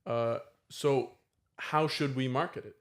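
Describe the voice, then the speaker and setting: Mid-pitched man asking questions. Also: fairly even intonation, takes it slowly. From United States. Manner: questioning, thinking aloud. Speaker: band member. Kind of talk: casual podcast chat.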